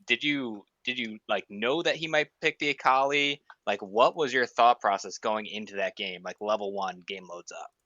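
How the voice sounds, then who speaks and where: A man's voice: lowish voice, moderate pace, few pauses, sharp tone, no echo. Lo-fi male co-caster, casual questions. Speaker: esports co-caster. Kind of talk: livestream desk interview. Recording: dull lo-fi mic.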